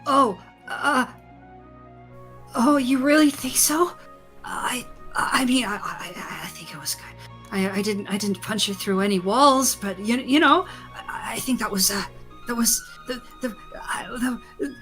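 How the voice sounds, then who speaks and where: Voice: mid-range voice, a little slow, pushed and bright, soft background music. A performer voicing a flustered young prince who stammers and doubts himself in a tabletop game. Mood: flustered, timid. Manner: stammering, hesitant.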